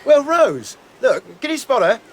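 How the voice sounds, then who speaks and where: An easygoing young lodger. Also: male voice, very high-pitched, sing-song, a little slow, far from the mic, slight background noise, heavily acted. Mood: casual. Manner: casual, deferential, colloquial. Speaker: Harry. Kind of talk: radio drama acting.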